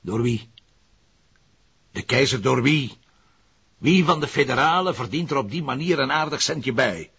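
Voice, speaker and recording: An actor playing a wry, insistent police detective with a Flemish accent in a radio drama. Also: male voice, medium pitch, lively intonation, unhurried, creaky, long pauses, fairly bright tone, slightly rough, exaggerated voice. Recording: dull radio drama recording.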